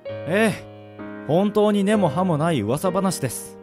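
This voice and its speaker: Man playing an easygoing swordsman, lightly high-pitched. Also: expressive, takes it slowly. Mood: earnest. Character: easygoing, roguish male fencing instructor, polite yet teasing. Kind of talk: radio drama acting. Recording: music behind the voice. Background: background music.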